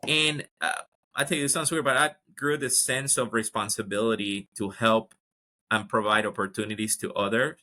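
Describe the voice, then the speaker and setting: A man's voice: lowish voice, fairly even intonation, normal speed, bright, forceful tone. A man from Ecuador, a guest telling his career story in a casual interview, with lots of fillers and restarts. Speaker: guest, HR executive.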